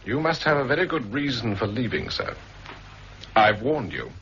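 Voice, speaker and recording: A man's voice: mid-range voice, big pitch swings, normal speed, a bit bright. A man with a British accent playing a dutiful, coolly defiant army captain in a 1960s radio drama, firm, terse and formally polite. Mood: coldly resolute. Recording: muffled, hissy 1960s radio recording.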